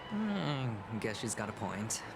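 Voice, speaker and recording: A man playing a suave, polite young gentleman in a video game, smooth and lightly amused. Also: fairly low pitch, sing-song, slow, very noisy, exaggerated voice. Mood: smooth, probing. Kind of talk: video game character dialogue. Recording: loud music behind. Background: loud game music.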